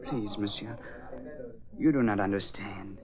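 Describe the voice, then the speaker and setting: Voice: low-pitched, crowd noise. An actor playing a grave, brave French orphan boy with a French accent, speaking formally and earnestly in a 1940s radio drama. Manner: formal, earnest, halting speech. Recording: dull old radio recording.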